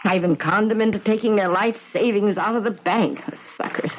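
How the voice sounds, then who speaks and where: A woman's voice: mid-range voice, lightly acted. Woman acting an anguished swindler, noisy old radio. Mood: anguished, defiant. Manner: anguished, emphatic, halting. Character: guilt-torn female swindler and killer, defiant accomplice. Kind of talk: 1970s radio mystery acting. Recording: noisy, dull 1970s radio broadcast.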